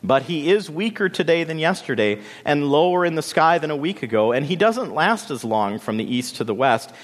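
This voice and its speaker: A man voicing a small, meek, sorrowful lily flower who speaks in a soft, hesitant, plaintive way. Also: on the high side, expressive, moderate pace, pitched above the performer's own voice, natural voice. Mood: earnest, grieving. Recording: long reverberant hall sound.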